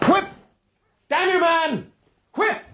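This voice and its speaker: Earnest, slow-speaking young English gentleman. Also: very high voice, heavily acted. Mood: excited, commanding. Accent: English upper-class. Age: young adult. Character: earnest, determined young English gentleman, polite but commanding. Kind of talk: period radio drama acting.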